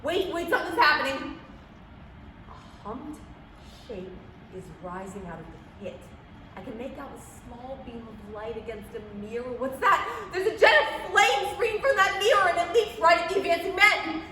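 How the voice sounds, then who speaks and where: Woman playing excitable radio reporter, distant and echoey. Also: high voice, normal speed. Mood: alarmed, panicked. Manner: breathless, dramatic eyewitness reporting. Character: male radio field reporter, awed then panicked eyewitness. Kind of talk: staged reading of 1938 radio drama. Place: public library room, staged reading. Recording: distant, echoey room with clear noise.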